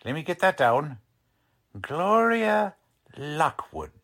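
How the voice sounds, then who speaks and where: An actor playing a cocky, condescending police lieutenant in a 1940s-style radio drama, brusque and slangy. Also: male voice, on the high side, big pitch swings, slow pace, a bit bright, heavily acted. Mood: brusque, probing. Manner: brusque, slangy, ungrammatical tough-cop talk.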